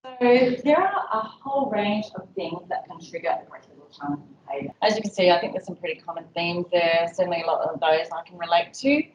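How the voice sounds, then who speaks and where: Low-voiced woman presenting. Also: brisk, few pauses, recorded from a distance, slight background noise. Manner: conversational, lots of ums and you-knows. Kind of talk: educational talk to health staff. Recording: dull, echoey room audio from afar.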